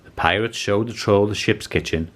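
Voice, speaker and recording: A man reading a children's story aloud as an expressive narrator. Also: on the low side, normal speed. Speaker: storybook reader.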